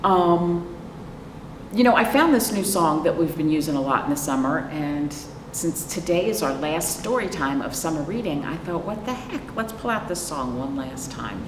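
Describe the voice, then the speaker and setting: An American woman hosting children's storytime as a librarian, reading picture books expressively and asking her young listeners questions. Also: low voice. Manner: expressive, asks listeners questions and pauses for answers. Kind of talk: picture book read-aloud for young children. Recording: roomy, echoing, noisy, far from microphone.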